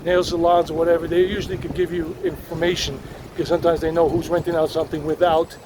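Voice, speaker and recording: A man's voice: on the high side, little pitch movement, fast. A middle-aged man, chatting casually as he walks a city street and narrates a tour. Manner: chatty and rambling, switching into Spanish with locals. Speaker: walking tour vlogger. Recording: outdoor street noise. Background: traffic and street noise.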